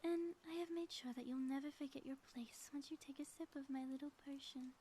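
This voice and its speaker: A woman's voice: sits high, steady tone, a little fast, mellow, slight background noise, lightly acted. A woman playing a theatrical, gloating villainess in a scripted ASMR audio roleplay, with florid, melodramatic delivery. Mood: menacing, gloating. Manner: grandiose, florid, melodramatic phrasing.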